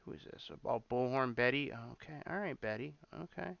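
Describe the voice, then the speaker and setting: A man's voice: mid-range voice, a little fast, vocal fry, fairly bright tone, clean tone, no echo, dull audio. A man hosting a casual livestream, rambling and repeating himself, swearing often as he reacts. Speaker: livestream host. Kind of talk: casual livestream chat reacting to clips and viewer comments.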